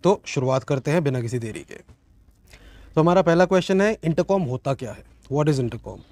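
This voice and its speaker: A man's voice: fairly high pitch, animated, moderate pace, darkish tone, no echo. A young man with an Indian accent giving an informal, explanatory question-and-answer product review, mixing in English phrases, recorded outdoors in a garden. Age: young adult. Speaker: motovlogger reviewing gear. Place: outdoor garden, seated at a table.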